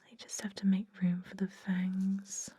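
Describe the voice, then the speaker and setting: A woman playing a soothing, sly vampire dentist in an ASMR roleplay, speaking gently and deliberately in a breathy whisper. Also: mid-range voice, completely flat delivery. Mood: soothing, focused. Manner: slow, gentle, deliberate, softly reassuring.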